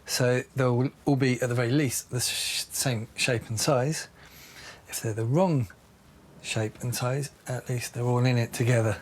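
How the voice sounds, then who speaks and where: A man's voice: mid-range voice, steady tone, measured pace, a little hiss or hum. A man explaining his craft work aloud, unscripted, with dry, self-deprecating humour. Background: music.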